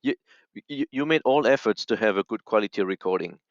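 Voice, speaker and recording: A man speaking as a podcast guest, giving conversational advice in a halting, measured way over dull call audio. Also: fairly low pitch, lively intonation, dry recording. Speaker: podcast guest, radio expert.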